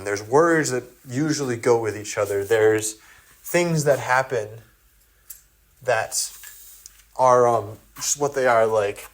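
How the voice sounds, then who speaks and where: A native English-speaking man in a casual solo monologue, thinking aloud with many fillers and restarts. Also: mid-range voice. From English-speaking country. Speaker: video essayist.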